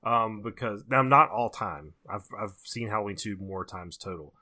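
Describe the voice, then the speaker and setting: A man's voice: fairly low pitch, moderate pace, pushed and bright. A man co-hosting a horror movie podcast, giving casual, opinionated commentary and repeating his points for emphasis. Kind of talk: casual opinionated film discussion.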